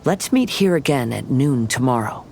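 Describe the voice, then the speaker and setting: Gentle, reserved young samurai. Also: male voice, mid-range voice, lively intonation, normal speed, vocal fry. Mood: pensive. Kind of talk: video game voice acting. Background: music.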